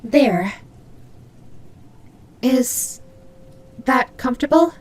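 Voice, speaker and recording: A woman's voice: sits high, animated, extremely slow, slight background noise, full character voice. A woman playing a prickly but caring female nightmare demon rabbit in an audio roleplay, speaking to the listener with hesitant, teasing, flustered delivery. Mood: flustered, tsundere.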